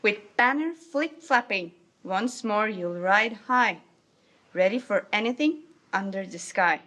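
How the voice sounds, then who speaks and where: Bright, slow-reading woman. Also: medium pitch, expressive. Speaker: teacher. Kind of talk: reading a children's rhyming book aloud.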